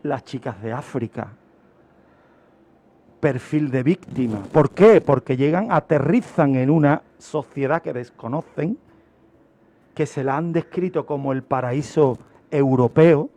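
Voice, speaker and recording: A man's voice: mid-range voice, a little slow, mellow. A man speaking as a criminologist guest on a radio segment, explaining in an emphatic, didactic way with rhetorical questions. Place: radio studio.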